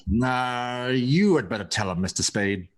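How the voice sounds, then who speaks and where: A man acts an obsequious crook's henchman in a noir radio play. His medium-pitched voice is florid, courteous and placating, with elaborate polite phrasing. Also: animated, unhurried, close to an everyday voice. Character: smooth-talking, obsequious male criminal henchman.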